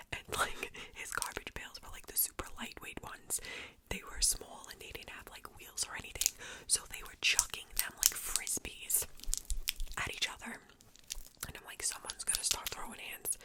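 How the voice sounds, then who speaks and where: A woman's voice: medium pitch, rough recording. A woman from New York whispering chatty neighborhood gossip in ASMR style, with casual swearing. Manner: whispering throughout, chatty, swearing, animated retelling. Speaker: vlogger telling neighborhood gossip.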